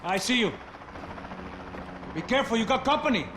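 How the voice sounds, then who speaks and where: A man's voice: very high voice, big pitch swings, moderate pace. An actor playing a streetwise, anxious male gunman partner in an action video game, urgent and informal. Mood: alert. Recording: game audio with loud ambient noise.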